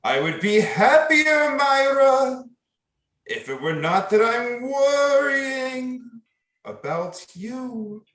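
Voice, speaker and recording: An actor playing the tender, worried ghost of a dead husband in an old-time radio drama, speaking solemnly and haltingly. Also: male voice, very high voice, sing-song, fairly bright tone, roomy sound, heavily acted.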